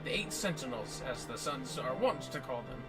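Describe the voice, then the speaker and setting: Man acting grand, wordy fantasy game scholar, swooping intonation, pompous and deliberate, music underneath. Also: mid-range voice, big pitch swings, pitched below the performer's own voice. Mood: solemn, expository. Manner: pompous, deliberate, ornate diction. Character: learned, formal archaeologist leading an expedition, grandly wordy. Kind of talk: dramatic reading of fantasy game dialogue.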